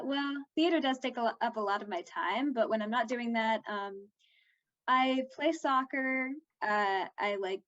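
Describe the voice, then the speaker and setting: High-pitched young woman chatting casually. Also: fairly bright tone, muffled recording. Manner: informal, heavy use of "like". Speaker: interviewed actor. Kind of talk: casual interview chat.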